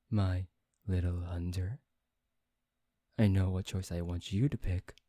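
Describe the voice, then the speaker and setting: Casting: performer to play a playfully menacing noble vampire for ASMR roleplay; very dark, soft-edged tone, coaxing mock politeness, close dry sound. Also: low voice, no echo, full character voice. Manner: theatrical, drawn-out, coaxing delivery with mock politeness. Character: smug, teasing high-ranking vampire, playfully menacing and possessive.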